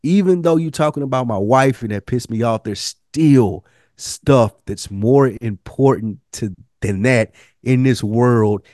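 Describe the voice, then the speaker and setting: A man with an American accent chatting casually as a podcast co-host, telling things in a slangy, profane, animated way. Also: medium pitch, unhurried, dry recording, clean studio sound. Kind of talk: casual TV review podcast chat.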